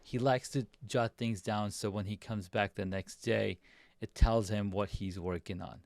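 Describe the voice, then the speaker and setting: A middle-aged man with a lower-than-usual, smooth and resonant voice, from Pakistan, Saudi Arabia and the US. He tells his story in an upbeat, chatty way, now and then restarting a phrase. The sound is dry and close, with little room in it. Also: steady tone, unhurried, darkish tone, dry recording. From grew up in Pakistan, Saudi Arabia and the US. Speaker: guest, designer and videographer. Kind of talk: casual storytelling on a video podcast.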